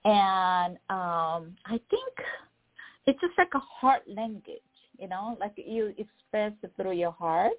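A middle-aged woman with a Korean accent, a poet speaking as a guest in a casual interview, halting and searching for words. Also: mid-range voice, lively intonation. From South Korea. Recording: tinny phone line.

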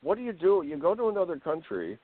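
A man's voice: a little high, big pitch swings, quick pace, slight background noise. A man hosting a radio talk show, rambling and jokey as he interviews a guest. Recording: tinny phone line.

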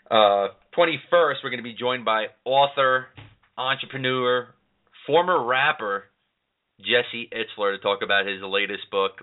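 A man hosting a chat show, talking casually and rambling with lots of fillers. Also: fairly low pitch. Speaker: podcast host. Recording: tinny phone-line sound.

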